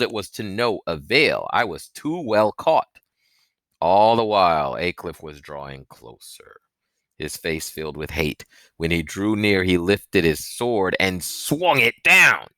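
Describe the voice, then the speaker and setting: A man narrating an audiobook in an expressive, suspenseful, dramatic storytelling style. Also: fairly low pitch, no echo.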